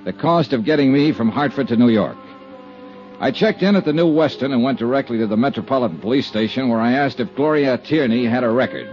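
A man's voice: mid-range voice, lively intonation, fairly quick, faint music behind, natural voice. An actor playing a cool, wry insurance investigator who narrates his case in a clipped, dry 1950s radio detective style. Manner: clipped, dry, matter-of-fact hardboiled delivery. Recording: dull 1950s radio recording.

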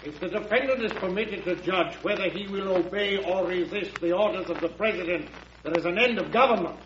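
Man acting a high-voiced indignant prosecutor, echoey courtroom. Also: a little fast, lo-fi sound, close to an everyday voice. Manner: oratorical, emphatic, stiffly formal. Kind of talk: classic radio drama acting. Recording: echoey, noisy, band-limited old radio recording.

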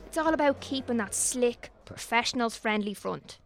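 A woman's voice: a little high, animated, normal speed, a little hiss or hum. A young woman narrating an audio drama in a wry, conspiratorial, sweary way.

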